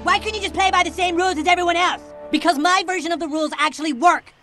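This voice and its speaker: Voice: very high voice, fairly even intonation, quick pace, pushed and bright, exaggerated voice. A voice actor playing a defensive, stubborn cartoon boy, over game music.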